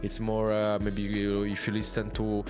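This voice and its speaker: Italian-accented man, halting host. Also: on the low side, completely flat delivery, over music. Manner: hesitant, many "uh" fillers and restarts. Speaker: show host. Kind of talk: casual interview chat.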